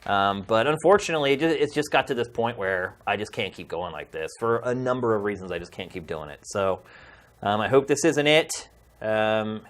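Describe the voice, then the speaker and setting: A man's voice: fairly low pitch, lively intonation, fairly quick. A middle-aged man speaking as a podcast host, addressing viewers in a casual, heartfelt and emphatic way.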